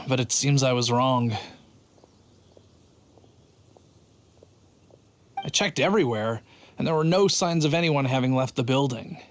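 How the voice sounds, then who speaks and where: A man's voice: medium pitch, normal speed, slight background noise, lightly acted. A man voicing an earnest, boastful but timid city guard in a video game, telling things with drama. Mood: earnest, puzzled. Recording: faint music behind the voice.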